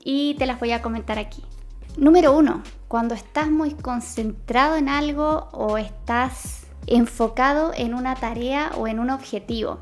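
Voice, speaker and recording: A woman's voice: sits high, normal speed, faint music behind. A woman with a Chilean accent explaining brain science in a casual vlog, chatty and full of fillers and restarts. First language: Spanish. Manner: chatty, many fillers and self-corrections. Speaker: science vlogger.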